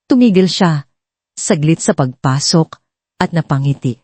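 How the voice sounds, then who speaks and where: A woman's voice: low-pitched, expressive, measured pace, dark tone, slightly rough, dry recording. A woman reading a scripted dramatic story as a storyteller.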